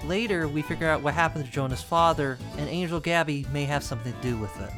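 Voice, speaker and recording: A young man narrating a scripted video essay with casual, wry asides and dramatic pauses. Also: fairly high pitch. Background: music.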